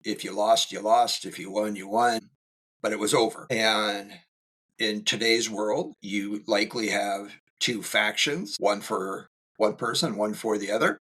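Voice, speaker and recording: A man's voice: lowish voice, steady tone, measured pace, pushed and bright, husky, poor audio. A middle-aged man co-hosting a podcast as a school safety specialist, talking casually with dry teasing humor.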